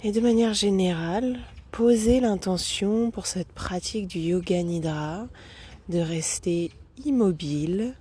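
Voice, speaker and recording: A woman guiding a yoga nidra meditation as a teacher, speaking calmly and gently with long pauses between phrases. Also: mid-range voice, slightly rough. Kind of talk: guided meditation, soothing instruction.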